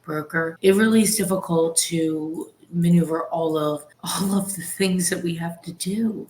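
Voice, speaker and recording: Woman, middle-aged, deep voice, soft and reflective, podcast host. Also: measured pace, a little hiss or hum, low-quality recording. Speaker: podcast host, real estate broker. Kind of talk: casual podcast conversation.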